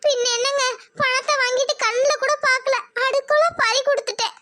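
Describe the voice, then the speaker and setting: A woman's voice: very high-pitched, fairly even intonation, brisk, pushed and bright, a little hiss or hum, pitched above the performer's own voice. A woman voicing an anxious village mother, tearful and fretful over lost wedding money.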